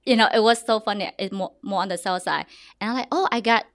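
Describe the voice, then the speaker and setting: Vietnamese-accented young woman, real estate agent on a podcast, lively, dry close sound. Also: mid-range voice, fast, no echo. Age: young adult. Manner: animated, restarts often, laughs. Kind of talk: podcast interview.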